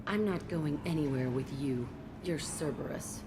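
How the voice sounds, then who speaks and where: A woman's voice: low voice, moderate pace, background noise, natural voice. An actress playing a hostile, distrustful young female convict with a blunt, taunting, sarcastic manner in a video game. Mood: hostile, suspicious.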